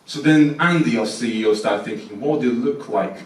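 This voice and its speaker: A man's voice: medium pitch, brisk. Chatty Portuguese man in an echoey church. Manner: chatty, self-deprecating, many restarts. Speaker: mission team speaker. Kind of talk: informal talk at a church service. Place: church hall. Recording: echoey room, mic far off.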